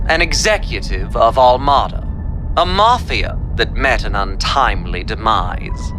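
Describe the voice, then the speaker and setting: A flamboyant, sinister mafia executive from a video game, mocking and theatrical. The lines are drawn out slowly and swoop widely in pitch, with music playing underneath. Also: male voice. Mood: theatrical gloating. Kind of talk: dramatic English game dub acting.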